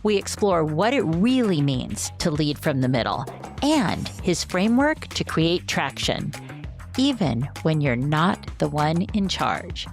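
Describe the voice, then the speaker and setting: A woman's voice: low-pitched, very animated, a little slow, soft background music. A woman hosting a leadership podcast, reading a scripted intro and chatting with a guest in an upbeat, enthusiastic way. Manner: upbeat, enthusiastic, warm questions. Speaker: podcast host and coach. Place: home office, video call.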